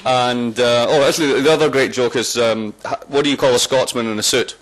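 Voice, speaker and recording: A man's voice: mid-range voice, brisk, rough recording. Scottish man, guest lecturer, wry and joking, mid-range voice, roams off the mic. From Scotland. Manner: wry, joking, lively delivery; strong voice, walks away from the microphone. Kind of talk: public lecture.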